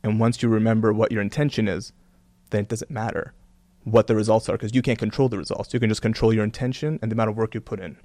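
Interview guest: earnest, reflective man with a low, dry voice. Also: steady tone, fast, hardly any pauses, mellow, no echo. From Kuwait and Canada. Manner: earnest, reflective, many "you know" fillers. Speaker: guest, religious content creator. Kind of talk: casual interview talk.

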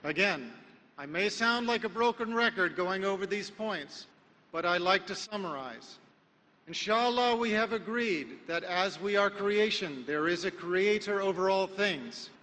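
Bright high-voiced man preaching in a hall. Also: lively intonation. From America. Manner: emphatic, rhetorical questions, measured pauses. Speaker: Islamic preacher. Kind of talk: sermon lecture.

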